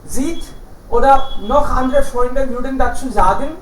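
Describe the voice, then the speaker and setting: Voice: sits high, a little slow. The host of an online German conversation club, moderating learners with formal, encouraging remarks over a video call. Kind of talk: moderating a language-learner chat. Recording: echoey, distant call audio with faint noise.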